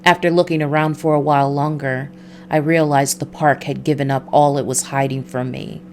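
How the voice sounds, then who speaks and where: A woman voicing a weary, hard-boiled male detective, narrating a noir visual novel in a measured, brooding way. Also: low voice, little pitch movement, darkish tone, a little hiss or hum.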